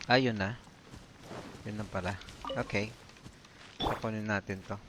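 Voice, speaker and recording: Male game streamer, Filipino accent, lowish voice, casual self-directed commentary over game music. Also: steady tone, moderate pace. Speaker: game livestreamer. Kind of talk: casual live gameplay commentary.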